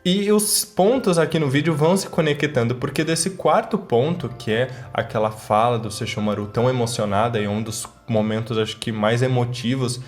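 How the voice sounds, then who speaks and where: A man's voice: mid-range voice, moderate pace, few pauses, soft background music. A man with a Brazilian accent hosting an online video, giving explanatory fan commentary to viewers in a didactic, emphatic way. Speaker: YouTube channel host.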